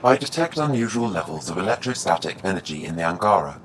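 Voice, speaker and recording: Very deep, precise AI companion. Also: male voice, sing-song, fast talker. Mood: clinical. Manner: precise, unemotional. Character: detached, analytical artificial intelligence companion. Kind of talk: video game dialogue.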